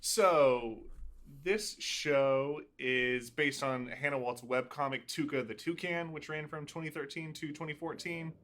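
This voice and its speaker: A man's voice: on the high side, measured pace, a bit bright. A man in his late twenties co-hosting a podcast, chatting casually with dry, wry asides. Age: 20s. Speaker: podcast co-host. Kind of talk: casual chat.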